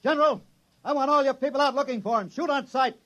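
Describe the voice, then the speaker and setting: A man's voice: extremely high pitch, fast talker, a bit bright, lo-fi sound. A man playing a bossy, blustering military inspector in a 1950s radio drama, barking indignantly. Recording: old radio recording with background noise.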